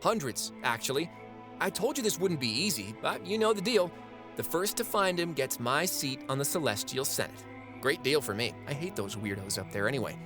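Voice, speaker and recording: A man's voice: medium pitch, lively intonation, moderate pace, over music, natural voice. A man acting in an audio drama as a flippant, sardonic minor god who banters casually and wheedles. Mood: pressing, irritated. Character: flippant, fast-talking minor trickster god, lately a frog.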